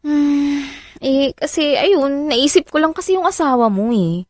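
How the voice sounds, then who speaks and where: A woman's voice: sits high, unhurried, no room sound, studio quality. An actress with a Filipino accent playing an affectionate, anxious single mother in a radio drama, coaxing and conversational. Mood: worried.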